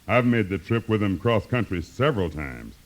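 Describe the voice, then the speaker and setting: A man's voice: fairly low pitch, sing-song, moderate pace. A man playing a puzzled, grumbling railroad attendant with folksy griping in a 1950s radio comedy.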